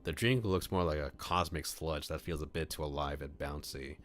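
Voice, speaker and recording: A man on a livestream reading a visual novel aloud, mixing scripted narration with casual asides where he thinks out loud. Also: a bass voice, moderate pace. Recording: music playing behind the voice. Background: clear background music.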